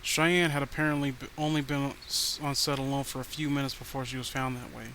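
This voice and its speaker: A man's voice: mid-range voice, level tone, fairly quick, bright, forceful tone. A man narrating a horror story in a casual manner, stumbling over the odd word. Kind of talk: dramatic horror story reading. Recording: faint background noise.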